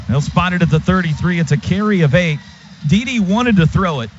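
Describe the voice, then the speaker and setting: A man gives live football play-by-play on the radio in short, clipped phrases that rise on big plays. His voice sits fairly high. The broadcast sound is slightly dull, with faint noise behind him. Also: expressive, moderate pace. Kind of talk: live American football commentary. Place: stadium radio booth. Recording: noisy, muffled stadium radio broadcast.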